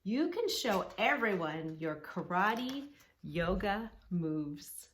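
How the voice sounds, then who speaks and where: A woman's voice: mid-range voice, big pitch swings, slow pace, slight background noise. A woman voicing a kind, reassuring young boy, an older brother in a children's storybook, speaking in an earnest, encouraging way.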